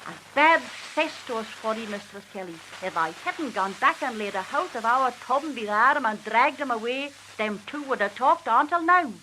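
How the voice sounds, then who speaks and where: A crackly 1940s archive recording carries a woman reciting as a Manx country wife in a sharp, fairly high voice. She is chatty and exasperated, confiding her grievances to a neighbour in broad dialect. The storytelling is animated, with steady hiss behind it. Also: moderate pace, hardly any pauses. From Isle of Man. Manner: animated, confiding, repeatedly addressing her listener.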